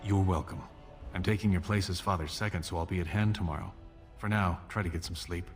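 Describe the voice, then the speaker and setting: An actor playing a scheming younger dwarf prince in a fantasy video game, measured, persuasive and conspiratorial. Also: male voice, deep voice, steady tone, faint music behind. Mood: earnest reassurance.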